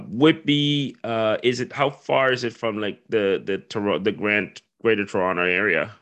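Low-voiced podcast host man. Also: a bit bright. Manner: quick intros, stumbling restarts and repeats. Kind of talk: casual interview chat.

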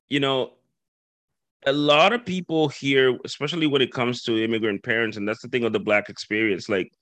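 A man's voice: mid-range voice, bright, forceful tone, dry recording. A man from the Dominican Republic hosting a casual interview, talking in loose run-on thoughts and acting out quoted voices. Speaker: podcast host.

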